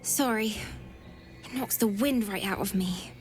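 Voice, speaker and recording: A woman playing a plucky, earnest young tiefling girl in a video game, talking in an informal, halting, childlike way. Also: medium pitch, faint music behind, lightly acted. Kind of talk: video game character dialogue.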